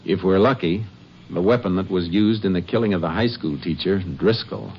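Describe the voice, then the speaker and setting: An actor playing a steady, shrewd Texas Ranger in a 1950s radio crime western, questioning and narrating in a terse, matter-of-fact way. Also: male voice, deep voice, fairly quick. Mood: grim, factual. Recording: muffled, noisy old 1950s radio recording.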